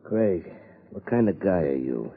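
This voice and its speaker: Shady American ex-boxer blackmailer, deep gruff voice, slangy, scheming, wide pitch swings, old radio crime drama. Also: male voice, sing-song, a little slow, heavily acted. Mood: scheming, wheedling. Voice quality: gruff, husky. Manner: slangy tough-guy talk. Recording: muffled vintage radio recording.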